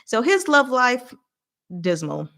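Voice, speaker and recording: A woman hosting a casual live recap, talking in an expressive, playful, giggly way with emphatic asides. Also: fairly high pitch, slow pace, vocal fry. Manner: giggly, expressive, laughs often while talking. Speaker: host of a live recap and discussion. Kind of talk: casual livestream chat recapping a story.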